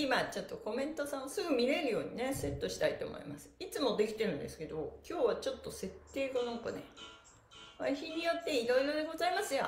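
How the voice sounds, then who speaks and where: A woman with a high, bright-spirited voice leads a livestreamed stretch class. She is cheerful and playfully polite, giving chatty instructions and rhythmic chants. The room is echoey, with soft music behind her. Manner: cheerful, very polite, playfully addressing body parts and devices with honorifics. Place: small indoor room with bare walls and mat, echoey.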